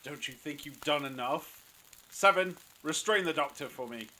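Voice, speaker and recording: A man's voice: a little high, moderate pace, sharp tone, rough recording. A man playing a menacing, accusing leader in an audio drama, commanding and sneering as he interrogates. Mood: threatening. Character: menacing, accusing male leader bullying the Doctor.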